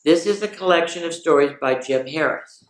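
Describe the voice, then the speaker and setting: A woman's voice: sits low, brisk. A woman hosting a children's story time, reading picture books aloud and adding chatty little asides. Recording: echoey, roomy sound.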